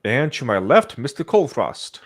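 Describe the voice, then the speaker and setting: A man's voice: mid-range voice, sing-song, normal speed. A man hosting a casual livestream chat, talking in a jokey, playfully self-important way. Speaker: podcast host.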